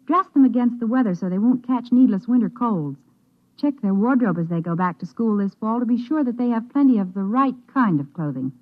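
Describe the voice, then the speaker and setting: A woman's voice: on the high side, lively intonation, brisk. A woman with an American accent reading a scripted 1950s radio public service message in an earnest, instructive manner. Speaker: singing schoolteacher giving a public service message. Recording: thin phone-like vintage radio recording.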